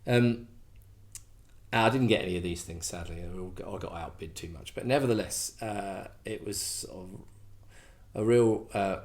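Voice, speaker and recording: Rambling middle-aged man, low voice. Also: moderate pace, a little mellow. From England. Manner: rambling, self-deprecating, many fillers and restarts. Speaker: host. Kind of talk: casual chat.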